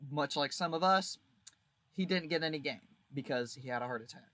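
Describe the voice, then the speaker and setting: A man's voice: on the high side, brisk, fairly bright tone, no room sound. A man giving casual video commentary in a wry, informal way, leaning on emphatic exaggeration. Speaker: video creator reviewing a visual novel.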